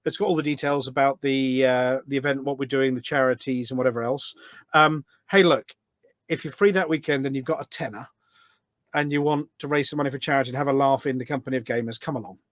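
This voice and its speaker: A man's voice: medium pitch, fairly even intonation, fast talker, dry recording. A British man chatting informally as a podcast guest, with an easygoing, self-deprecating way of talking. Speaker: podcast guest, community event organiser. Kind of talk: casual chat. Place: office.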